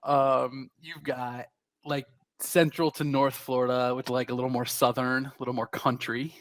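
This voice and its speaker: A man in casual podcast conversation, speaking at a mid-range pitch. He laughs often and happily explains things at length to his co-hosts. He sounds close to a podcast microphone. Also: flat delivery, normal speed. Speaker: podcast co-host. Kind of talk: casual chat.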